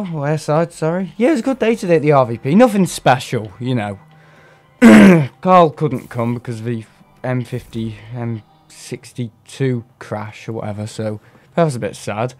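A man hosts a live game stream with a hoarse, worn voice from a cough. He rambles on in loose, casual commentary, often stopping to clear his throat. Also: mid-range voice, moderate pace, darkish tone, soft background music. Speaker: gaming streamer.

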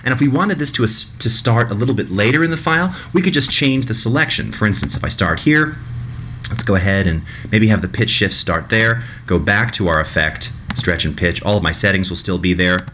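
A man in his thirties narrating a software tutorial in a conversational, demonstrative way, recorded indoors in a small room. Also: on the low side, fairly quick, background noise. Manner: animated, demonstrative, mimics sounds vocally.

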